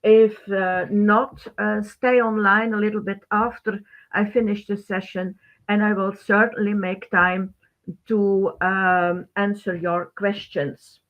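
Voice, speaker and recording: Female webinar host, native Dutch speaker with Belgian Dutch accent, slow and halting delivery, tinny band-limited sound. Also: medium pitch, little pitch movement. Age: middle-aged. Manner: halting, non-native English phrasing. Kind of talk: informal webinar presentation. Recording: thin phone-like band-limited webinar audio.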